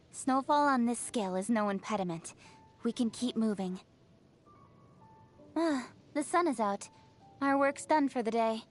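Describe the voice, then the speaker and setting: A confident young female official from a video game, acted by a woman, with a highish voice. She is brisk, principled and articulate, speaking in an assured, businesslike way over music. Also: natural voice. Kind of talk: scripted video game character voice lines.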